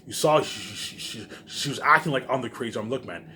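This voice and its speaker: Man, rapid speech, medium pitch, confrontational video rant, repetitive, sweary, faintly noisy recording. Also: animated, sharp tone. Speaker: online video maker. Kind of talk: unscripted rant to camera.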